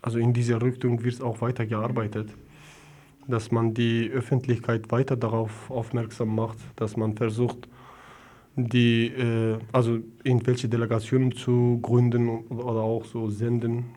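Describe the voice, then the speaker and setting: Male interviewee, muted mellow tone, little intonation, halting spontaneous answers. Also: mid-range voice, level tone. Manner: halting, searching for words. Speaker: interviewee, activist.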